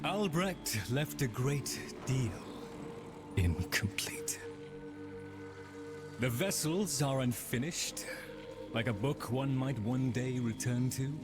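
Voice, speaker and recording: An actor playing a formal, bitter construct left behind in a video game, haughty and halting, with a melancholy air. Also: male voice, mid-range voice, natural voice. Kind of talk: scripted video game character acting. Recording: very loud music over voice.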